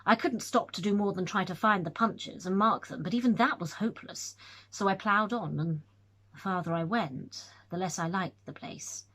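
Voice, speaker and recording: Brief: woman doing a breathless young academic recounting a scare, higher-pitched and animated, husky. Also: normal speed, close to an everyday voice. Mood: eager. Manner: lively, excited storytelling with hesitations.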